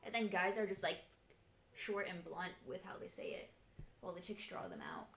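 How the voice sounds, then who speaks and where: A woman's voice: fairly low pitch, huge pitch swings, moderate pace, gravelly, over loud music. A woman in casual chat, playful and mimicking lines as she talks. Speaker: co-host. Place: hot tub. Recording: echoey room, mic far off, noisy.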